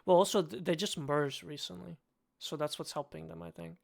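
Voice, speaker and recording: A man with a Serbian accent and a slightly higher pitch, with a gravelly, fry-laced voice. He talks bluntly in a casual podcast chat, joking and swearing offhand. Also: steady tone, a little fast. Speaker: podcast co-host.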